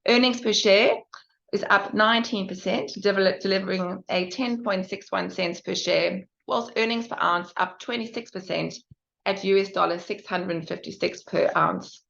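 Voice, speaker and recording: A woman's voice: medium pitch, moderate pace, gravelly, a bit bright. A woman presenting financial results as an executive, reading figures formally and carefully with small stumbles. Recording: dull, band-limited conference call audio.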